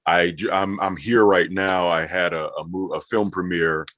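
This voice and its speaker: A man's voice: deep voice, steady tone, moderate pace, a bit bright, highs cut off. An American man from Las Vegas, a voice actor chatting casually as an interview guest. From Las Vegas, United States.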